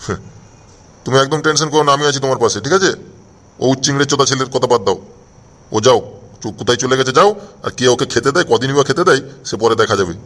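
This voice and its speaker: A man's voice: mid-range voice, fast talker, pauses often, fairly bright tone, close to an everyday voice. A man voicing a dismissive old father-in-law in an amateur comedy cartoon, scornful yet reassuring. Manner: gruff-worded, dismissive, reassuring.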